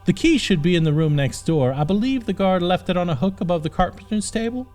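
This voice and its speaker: A man's voice: high-pitched, expressive, a little fast, a little mellow, soft background music, pitched above the performer's own voice. A man voicing a young princess in an audiobook, haughty and easily annoyed, speaking in prim, formal phrases. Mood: bossy, precise.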